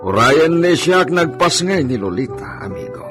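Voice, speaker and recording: An actor with an Ilocano accent playing a wealthy, brooding, suspicious husband in a radio drama. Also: male voice, fairly high pitch, sing-song, normal speed. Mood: brooding suspicion. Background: dramatic music.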